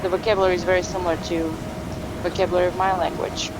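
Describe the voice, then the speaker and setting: An actor playing a helpful translator in a naturalistic audio drama, explaining things in a tentative, conversational way. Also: male voice, sits high, little pitch movement, rapid-fire, heavy background noise, lightly acted. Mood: explanatory, tentative. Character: helpful Slavic-language speaker puzzling out an inscription. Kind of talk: naturalistic fiction podcast acting, conversational.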